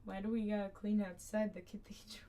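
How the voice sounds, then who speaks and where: A woman's voice: mid-range voice, little pitch movement, brisk, slight background noise. A woman streaming a video game with casual, informal, teasing commentary. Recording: loud game music behind voice. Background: loud game music.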